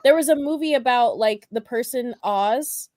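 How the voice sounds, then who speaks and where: High-voiced curious woman, dry sound. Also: moderate pace, a little mellow, no room sound. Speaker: podcast panelist. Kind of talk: casual chat, film review podcast. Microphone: online group call.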